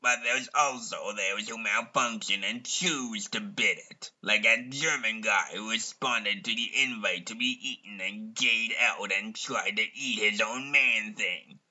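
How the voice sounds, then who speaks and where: A man delivering scripted parody narration in a deadpan way, with rambling asides. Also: lowish voice, measured pace, few pauses, dull audio.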